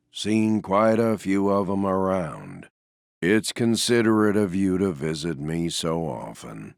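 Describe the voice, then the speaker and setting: A glum, gentle stuffed donkey from a video game, speaks in a very low, slow drawl full of gravel. The delivery is deadpan and resigned, often trailing off at the ends. The recording is close and dry. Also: male voice, no room sound, full character voice. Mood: mildly pleased. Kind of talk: video game character voice lines.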